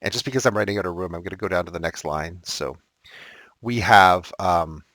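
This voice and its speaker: A man teaching a recorded math lesson, thinking aloud and correcting himself as he works problems, his voice slightly raspy. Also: deep voice, brisk, fairly bright tone, no echo.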